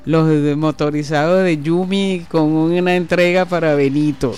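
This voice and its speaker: A man hosting a radio show from a studio, explaining at length and pausing often on fillers. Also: on the high side, fairly even intonation. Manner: slow, explanatory, halting with fillers. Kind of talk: radio talk and interview.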